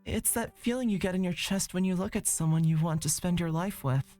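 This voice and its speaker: Woman voicing a gentle, bashful young man. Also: lowish voice, a little fast, soft background music, pitched below the performer's own voice, natural voice. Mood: shy, earnest. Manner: hesitant, earnest explaining. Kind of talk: visual novel character read aloud.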